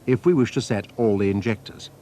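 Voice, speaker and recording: A man reading a scripted technical training film narration in a measured, precise, instructional manner. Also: fairly low pitch, dark tone. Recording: noisy archive training-film soundtrack.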